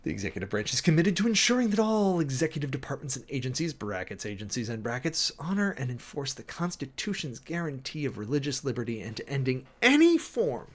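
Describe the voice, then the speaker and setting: An American man reading official documents aloud dramatically and commenting closely on them, with emphatic, deliberate, theatrical phrasing. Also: mid-range voice, big pitch swings. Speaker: streamer, preacher host.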